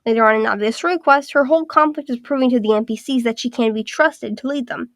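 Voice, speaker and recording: A woman with a raspy, congested voice from a recent illness, narrating a scripted analytical video essay point by point. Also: a little high, quick pace, fairly bright tone, dry recording, clean studio sound. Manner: structured, list-by-list evaluation.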